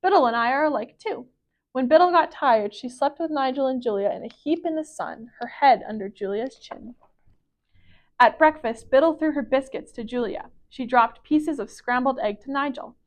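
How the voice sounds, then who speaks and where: High-pitched woman reading a picture book. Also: moderate pace. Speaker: library storyteller. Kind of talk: children's picture book read-aloud.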